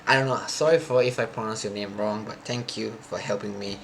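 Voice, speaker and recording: A young man, a native Thai speaker, chatting loosely to his live stream viewers, often halting and restarting as he searches for words. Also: on the low side, fairly even intonation, a little hiss or hum. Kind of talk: casual stream chat to viewers.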